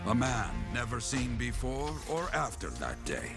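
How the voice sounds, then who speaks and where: A solemn veteran warrior telling a tale in a game cutscene. He speaks slowly with dramatic rises and falls, over loud orchestral-style score music. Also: mid-range voice, huge pitch swings, creaky, full character voice. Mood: dramatic, ominous. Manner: solemn, deliberate, dramatic storyteller. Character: grave veteran male mercenary recounting a fallen city.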